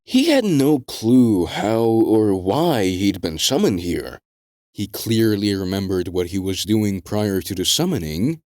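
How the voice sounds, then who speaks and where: A man reading scripted dramatic narration in a measured storytelling delivery, with deliberate pauses. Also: lowish voice, very animated, a little mellow, no room sound, clean studio sound.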